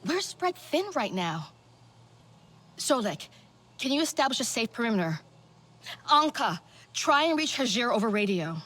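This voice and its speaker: A woman's voice: on the high side, big pitch swings, moderate pace, pauses often, a bit bright. A woman playing a sober, seasoned resistance leader in a cinematic video game, briefing her team. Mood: urgent, commanding.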